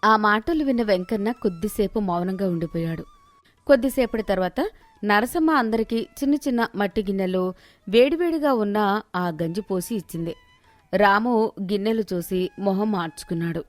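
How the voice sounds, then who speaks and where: A woman's voice: mid-range voice, normal speed, no echo, soft background music. A woman narrating a scripted moral story as the storyteller.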